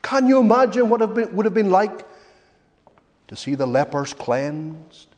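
A man preaching a sermon in an emphatic, rhetorical way, with repeated phrases and dramatic pauses. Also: high-pitched, big pitch swings, darkish tone. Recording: long reverberant hall echo.

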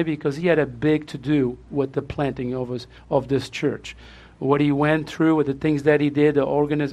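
A man with a Portuguese accent, a pastor welcoming his church, effusive and repetitive, laughing often. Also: on the high side.

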